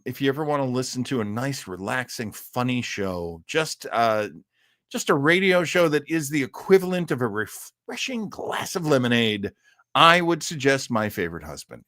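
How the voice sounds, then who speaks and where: A man's voice: mid-range voice, a bit bright, no room sound, studio quality. A middle-aged man hosting a live stream, chatting casually and reading viewer comments in a rambling, enthusiastic way with frequent hesitations. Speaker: live-stream host of an old-time radio show.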